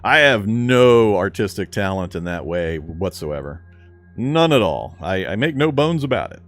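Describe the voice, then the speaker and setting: A man's voice: lowish voice, very animated, a little slow, fairly bright tone, faint music behind. A man streaming a video game, chatting casually and answering viewer questions with a fairly strong speaking voice. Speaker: gaming streamer. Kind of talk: casual live-stream chat while gaming.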